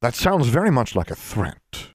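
A man voicing a stout older wizard leader who speaks calmly and condescendingly. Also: medium pitch, normal speed, breathy edge, dry recording, pitched above the performer's own voice. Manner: calm, dry, condescending. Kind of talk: audiobook character voice.

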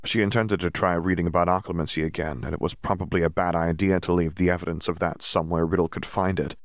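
Male audiobook narrator, low-pitched, very dry close sound, scripted fiction. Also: steady tone, fast, no echo.

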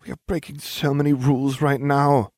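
A man's voice: a little high, big pitch swings, fast, a little mellow, no room sound, clean studio sound, pitched above the performer's own voice. A man voicing a prim, fretful young schoolgirl with an indignant, scolding manner in an audiobook.

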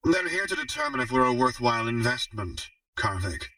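Man voicing a shrewd, sharp-edged female baron. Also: mid-range voice, wildly swooping pitch, a little fast, creaky, no room sound, distant mic, voice pushed up, heavily acted. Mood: calm, businesslike. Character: shrewd, commanding female underworld baron, grim and sneering.

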